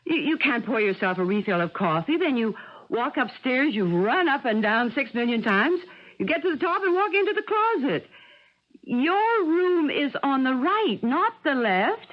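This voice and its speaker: An actress playing a fussy, affectionate but sharp-tongued middle-aged American mother in a radio drama, chiding and wry. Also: high-pitched, sing-song, normal speed. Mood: exasperated, chiding. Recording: muffled old radio broadcast.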